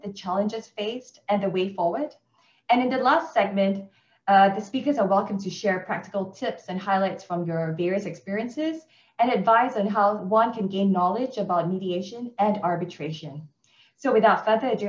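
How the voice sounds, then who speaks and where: A woman moderating an online panel, upbeat and quick to laugh as she introduces the speakers. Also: mid-range voice. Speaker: webinar moderator. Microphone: video call. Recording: echoey room, dull video-call audio.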